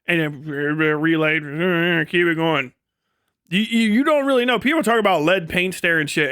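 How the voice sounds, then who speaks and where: A man live streaming, rambling comedically and casually to his chat with lots of swearing, folksy slang and animated, exaggerated storytelling. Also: high-pitched, dry recording, studio quality. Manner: profane, folksy slangy phrasing.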